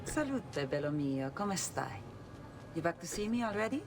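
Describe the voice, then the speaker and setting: A woman's voice: fairly low pitch, sing-song, normal speed. A playful, flirtatious courtesan whose voice swoops through a wide range, with game music playing behind. Teasing and inviting, she speaks like she enjoys every word.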